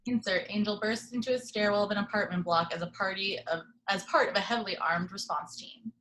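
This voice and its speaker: A woman's voice: mid-range voice, a little fast, fairly bright tone, rough recording. Woman reading stage directions. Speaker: narrator of action descriptions.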